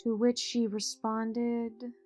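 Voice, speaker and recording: A woman acting in an audio drama as a poised, protective, wealthy mother and executive, measured, polished and dryly witty. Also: on the high side, flat delivery, a little mellow. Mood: guarded, weary. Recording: music behind the voice.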